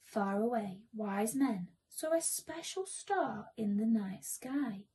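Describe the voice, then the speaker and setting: High, animated young woman storyteller. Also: slow pace. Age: young adult. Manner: theatrical, breathy asides to a child audience. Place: small room at home.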